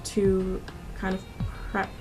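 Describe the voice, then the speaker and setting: A woman's voice: medium pitch, monotone, takes it slowly, darkish tone. A woman in her twenties narrating a makeup tutorial in a casual, informal, conversational way as a beauty vlogger. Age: 20s. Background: background music.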